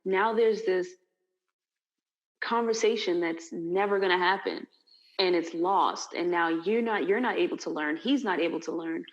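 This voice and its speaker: Casual woman co-host, low voice, long rambly sentences, muffled sound. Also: moderate pace. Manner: rambling, lots of fillers. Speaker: podcast co-host. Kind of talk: casual discussion.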